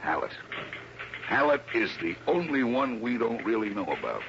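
An actor playing a gruff, sarcastic, suspicious police lieutenant in an old-time radio drama, talking in an accusatory way. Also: male voice, medium pitch, big pitch swings, moderate pace. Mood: suspicious, confiding. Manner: accusatory, sardonic. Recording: dull, noisy old radio recording.

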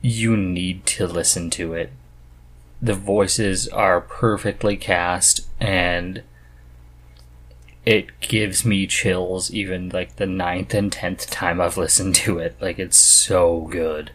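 Low, husky-voiced man chatting casually. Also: fairly even intonation, takes it slowly, fairly bright tone. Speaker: podcast host. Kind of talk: casual chat about books.

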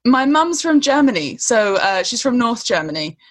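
A woman's voice: fairly high pitch, sing-song, moderate pace. A woman hosting a casual chat, talking loosely with lots of fillers and frequent laughs. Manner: chatty, laughs often, many fillers.

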